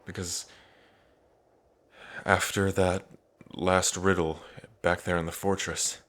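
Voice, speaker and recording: A man playing an earnest, devoted dragon prince in an intimate ASMR roleplay, pausing between phrases. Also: deep voice, flat delivery, a bit bright. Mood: tender, lovestruck. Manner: slow, earnest, with long pauses between phrases. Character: lovestruck dragon prince, grieving, tender, devoted.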